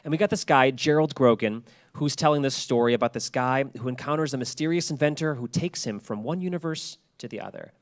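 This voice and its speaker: A man's voice: medium pitch, brisk. A man giving an informal public lecture in a chatty, colloquial storytelling way with asides. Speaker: presenter at a public talk.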